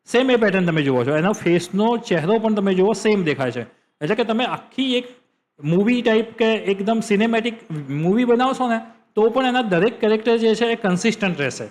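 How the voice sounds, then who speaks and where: A man with a Gujarati accent hosting a screen-share tutorial, explaining step by step and repeating phrases for emphasis. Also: high-pitched. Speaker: tutorial host.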